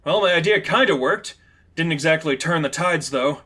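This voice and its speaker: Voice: mid-range voice, normal speed, bright, forceful tone, pitched above the performer's own voice. A man voicing a young, wisecracking superheroine with a casual, emphatic manner in a story reading. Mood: puzzled.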